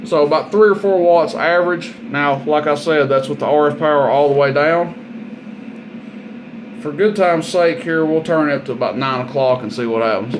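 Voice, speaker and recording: A man with a somewhat high-pitched voice narrates a hands-on equipment demo. He is informal and folksy, talking plainly like a seller showing how a thing works. The recording has a clearly audible steady noise throughout. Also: moderate pace. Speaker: product demonstrator and seller.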